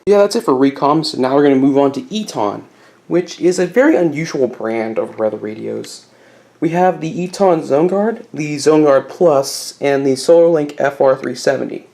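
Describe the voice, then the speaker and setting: A man's voice: mid-range voice, gravelly, a little mellow. A man giving a casual, unscripted show-and-tell as a hobbyist video host, rambling a bit and correcting himself with mild enthusiasm.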